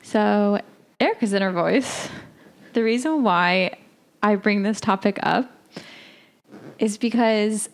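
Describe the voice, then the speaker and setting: A young adult woman co-hosting a podcast, talking in a reflective, halting way with frequent filler words. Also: medium pitch. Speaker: podcast co-host. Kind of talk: casual chat.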